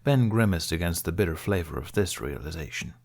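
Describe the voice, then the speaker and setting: Guy with a lowish voice narrating a sci-fi story, audiobook style. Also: expressive, a little fast, gravelly. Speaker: audiobook reader. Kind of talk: scripted narration of fan fiction.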